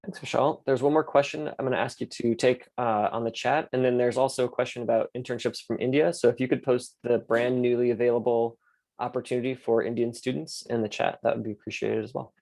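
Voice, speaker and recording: A man with a mid-range voice and a noticeable gravelly fry leads an online class. He moves through questions and course plans in an easygoing way, with plenty of "uh" and "so". He sounds like he is talking into a computer mic on a video call. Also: medium pitch, quick pace. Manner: relaxed, filler-heavy explaining. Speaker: course instructor and host. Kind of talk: online lecture and Q&A moderation.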